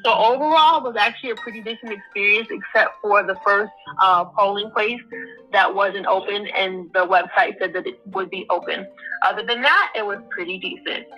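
A woman's voice: medium pitch, normal speed, dull audio, soft background music. A woman voter giving a chatty, rambling account of her experience, with plenty of ums and uhs. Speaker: voter interviewee. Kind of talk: recorded testimonial.

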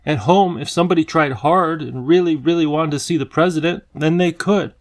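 A man's voice: fairly high pitch, fairly quick, voice pushed up, lightly acted. A man voicing an earnest, emotional little girl in a storybook, tearful and imploring. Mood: wailing, imploring.

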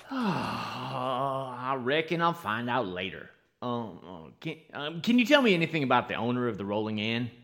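A man's voice: mid-range voice, big pitch swings, measured pace, gravelly, a bit bright, exaggerated voice. A man playing a polite, earnest young cowboy with a Western American accent in a radio western. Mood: low-key, guarded. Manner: folksy, polite cowboy talk. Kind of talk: old-time radio western acting.

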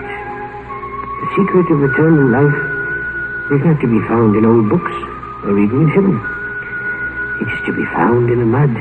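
An actor playing a haughty, decadent old English earl who reads his diary in a measured, literary 1950s radio drama style. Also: mid-range voice. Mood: solemn, musing. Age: older. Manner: solemn, measured, literary delivery. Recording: tinny 1950 radio broadcast with music.

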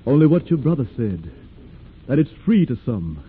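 An actor playing a polite, earnest runaway slave longing for freedom in an old-time radio drama. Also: male voice, mid-range voice, sing-song, normal speed, slightly rough. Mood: guarded, earnest. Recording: muffled, noisy old radio recording.

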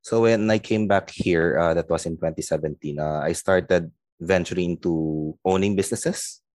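A man's voice: deep voice, moderate pace, darkish tone, no room sound, studio quality. A middle-aged man with a Filipino accent, chatting casually as an interview guest. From Philippines. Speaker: sales lead, interview guest.

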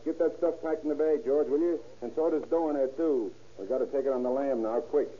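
An actor playing a wisecracking safecracker of about thirty in an old radio crime drama, slangy, sarcastic and defiant. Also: male voice, mid-range voice, a little fast, close to an everyday voice. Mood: brisk, greedy. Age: 30s. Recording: muffled, noisy old radio recording.

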